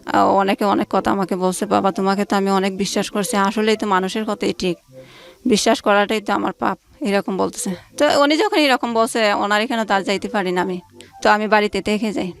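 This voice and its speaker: A woman's voice: mid-range voice, steady tone, brisk, vocal fry. A woman, telling her life story informally as an interview guest and acting out conversations as she goes. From Bangladesh. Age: late 20s. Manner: recounts events through reported dialogue. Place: radio studio.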